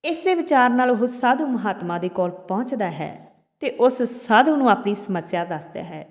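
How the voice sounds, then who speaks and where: A woman's voice: on the high side, lively intonation, normal speed. Woman storyteller in long, hall-like reverb. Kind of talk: narrated moral folk tale.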